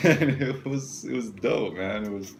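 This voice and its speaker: A man's voice: lowish voice, measured pace, vocal fry, a little hiss or hum. A man co-hosting a casual film discussion podcast in a slangy, loosely phrased way. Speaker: podcast co-host.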